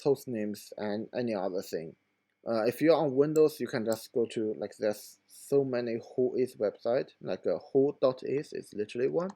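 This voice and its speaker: A hoarse man who is losing his voice, talking through a screen-recorded computer tutorial in a halting, informal way with many fillers. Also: medium pitch, darkish tone.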